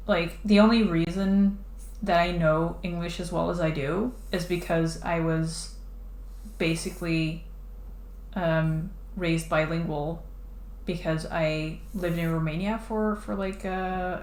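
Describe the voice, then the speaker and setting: A woman's voice: lowish voice, fairly even intonation, a little slow, darkish tone. A woman streaming live while drawing a comic, chatting casually and rambling, with many fillers and restarts. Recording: echoey room, faint background noise.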